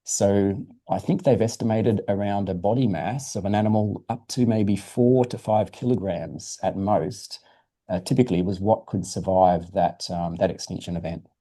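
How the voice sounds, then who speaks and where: A man's voice: lowish voice, moderate pace, mellow. A man presenting science, answering questions informally in an encouraging, explanatory way for a young audience.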